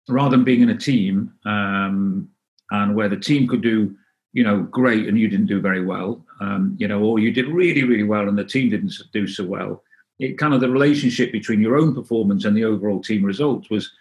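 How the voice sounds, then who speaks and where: A middle-aged man from North Wales, Welsh as his first language, answering interview questions in a halting, casual way with many restarts. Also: lowish voice. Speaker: interview guest.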